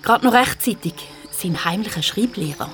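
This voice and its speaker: A woman's voice: mid-range voice, expressive, moderate pace, fairly bright tone, faint music behind, close to an everyday voice. A woman with a Swiss German accent narrating a children's radio play in a vivid, dramatic storytelling manner. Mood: scene-setting.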